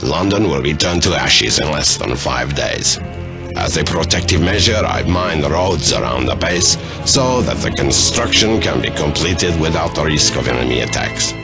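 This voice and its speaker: Very deep-voiced army major. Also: male voice. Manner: formal, dutiful. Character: military officer, a major, dutifully reporting to his general. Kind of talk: scripted dramatic letter reading in a war game.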